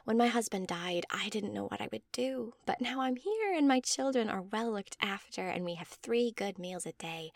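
A woman voicing a devoted, eager-to-please mother in a story, gushing and persuasive, with breathy emphasis. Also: medium pitch, no echo, clean studio sound, pitched above the performer's own voice, lightly acted. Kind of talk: scripted story dialogue.

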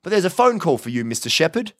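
A man plays a timid, courteous village policeman for an audiobook. The voice is pitched well up, hesitant and obliging, with a lilting range. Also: very animated, fast, a bit bright. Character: meek, polite small-town night policeman, easily swayed. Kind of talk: audiobook character acting.

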